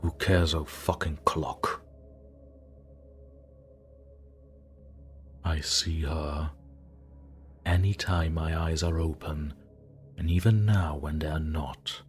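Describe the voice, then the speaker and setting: A man acting out a terrified, exhausted man who whispers, pleads and breaks into frantic shouts as he writes his journal in a horror story. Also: extremely low pitch, fairly even intonation, slow pace, faint music behind, voice pushed down. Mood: haunted. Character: haunted guilt-ridden man writing a journal.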